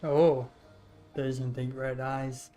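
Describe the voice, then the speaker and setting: A woman with a very low voice plays a game and reacts casually. She speaks slowly in short, muttered asides, with faint music behind her. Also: little pitch movement, dark tone. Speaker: streamer playing a game.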